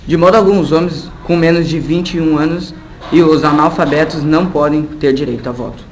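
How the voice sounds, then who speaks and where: A man's voice: fairly high pitch, steady tone, fairly quick, muffled recording, slight background noise, lightly acted. A man voicing a pompous, stern politician who talks in a dismissive way. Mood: scornful.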